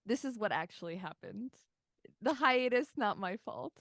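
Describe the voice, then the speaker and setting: A woman co-hosting a podcast, joking energetically in casual banter and swinging loud at times. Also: medium pitch, expressive, normal speed, dry recording, studio quality. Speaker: podcast co-host. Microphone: remote call, separate room.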